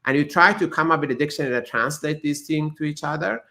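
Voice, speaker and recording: A man whose first language is Persian, a physicist guest explaining ideas in an interview, thoughtful and halting with many restarts. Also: a little high, vocal fry, sharp tone.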